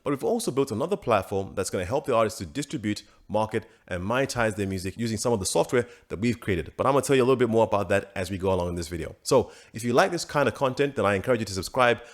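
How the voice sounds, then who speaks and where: A man's voice: lowish voice, lively intonation. A man hosting a podcast, chatting casually with his guest, with lots of fillers and restarts. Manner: fast-talking, filler-heavy, restarts often. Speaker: podcast host. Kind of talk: casual interview chat.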